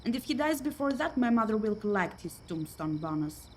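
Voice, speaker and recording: A woman's voice: medium pitch, very animated, brisk, a little hiss or hum, lightly acted. A woman playing an aggrieved young woman from a poor family in a radio play, speaking plainly.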